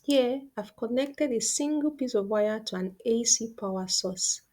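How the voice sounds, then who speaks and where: A woman presenting a scripted educational narration as a science teacher, deliberate and explanatory, posing questions and then answering them. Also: on the high side, a little mellow.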